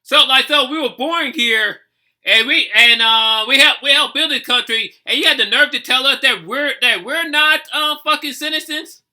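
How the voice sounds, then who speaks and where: An American man with a strikingly high, sharp-edged voice. He reacts off the cuff in casual talk, stopping and restarting often, and leans hard on his points with the odd swear word. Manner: halting, emphatic, swearing. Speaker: reaction video host. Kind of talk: unscripted reaction commentary, casual chat.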